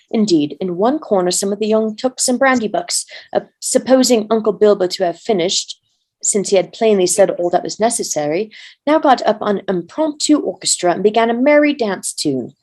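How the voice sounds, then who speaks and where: A woman with a medium-pitched voice narrates a fantasy tale. She reads aloud in a measured way, as a volunteer storyteller would. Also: animated, darkish tone. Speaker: volunteer reader.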